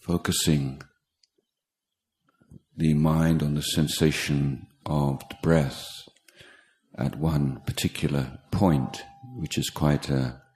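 Very deep, slow-speaking male meditation teacher. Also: fairly even intonation, darkish tone. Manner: halting, measured, with pauses between short phrases. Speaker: meditation teacher, Buddhist monk. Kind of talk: guided meditation instruction. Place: meditation retreat talk in Thailand.

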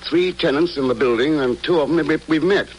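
An actor playing an unflappable secret agent, dry, clipped and commanding, in old-time radio drama style. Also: male voice, fairly high pitch, brisk, natural voice. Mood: calm, commanding. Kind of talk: 1940s-50s radio drama acting. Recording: noisy old radio recording with clicks.

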